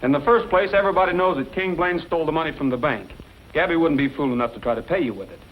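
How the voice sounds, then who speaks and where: Actor as quick-talking, earnest cowboy hero. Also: male voice, a little high, natural voice. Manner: reasoning, earnest. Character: steady, level-headed cowboy hero defending his friends. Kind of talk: old-time radio western acting. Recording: muffled old radio recording, faint noise.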